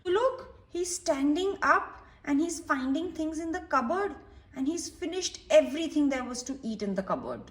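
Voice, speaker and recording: A woman's voice: high voice, animated, normal speed. A woman reading a storybook aloud to young children, explaining it simply and putting questions to the listener. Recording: roomy echo, distant from microphone.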